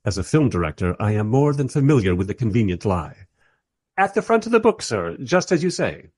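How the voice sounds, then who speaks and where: Man playing a wry, husky-voiced director narrator. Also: lowish voice, very animated, brisk, a little mellow, no room sound, clean studio sound. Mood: dry, amused. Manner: wry, conversational asides. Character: wry male film director narrating his encounter, politely exasperated. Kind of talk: radio play narration and dialogue.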